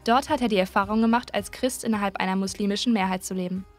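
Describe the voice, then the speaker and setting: A woman narrating a documentary in an even, informative reading style. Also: medium pitch, fast, soft background music.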